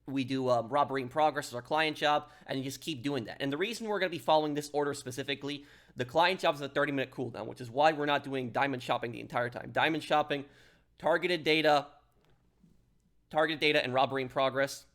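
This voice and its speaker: A man's voice: medium pitch, fairly even intonation, quick pace, fairly bright tone. A man giving a casual, step-by-step gameplay tutorial in an instructional way, like an online gaming guide host.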